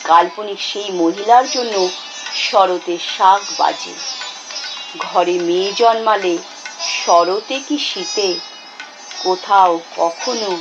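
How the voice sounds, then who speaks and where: A woman reciting a poem dramatically, in a measured, expressive way with deliberate pauses. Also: mid-range voice, lo-fi sound. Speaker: poetry reciter. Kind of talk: dramatic Bengali poetry recitation. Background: instrumental music.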